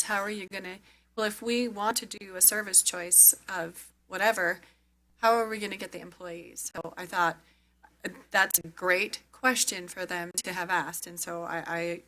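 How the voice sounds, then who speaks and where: A board member offering polite, conversational remarks. Her voice is bright and sharp, pitched a little low for a woman, and she speaks in a friendly, unhurried way. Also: low-quality recording. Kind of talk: meeting comment.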